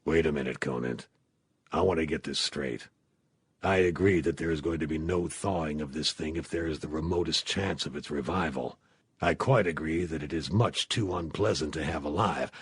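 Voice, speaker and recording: A man plays a cautious commander who carries authority in an audiobook. The voice is very deep and heavily husky, with measured, firm delivery. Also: dry recording, full character voice.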